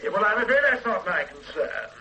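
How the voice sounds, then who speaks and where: An actor in a radio drama playing a police superintendent who questions people in a calm, formal and persistent way. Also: male voice, high voice, fairly quick, lo-fi sound, slight background noise, heavily acted. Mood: measured. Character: measured, dogged police superintendent. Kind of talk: 1980s radio drama acting.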